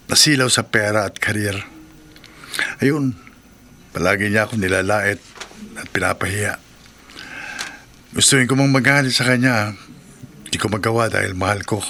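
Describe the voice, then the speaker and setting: A man's voice: fairly low pitch, moderate pace, pauses often, sharp tone, slight background noise. A man playing a meek, nervous, lovelorn husband in a horror radio drama, hesitant and prone to stammering. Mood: wistful, hurt. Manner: hesitant, stammering when flustered.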